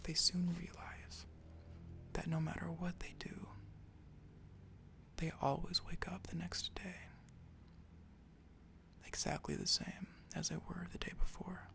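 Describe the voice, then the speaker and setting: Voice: medium pitch, little pitch movement, slow, gravelly, pauses often, fairly bright tone. A tired ASMR storyteller whispering a rambling improvised tale, with occasional apologetic asides.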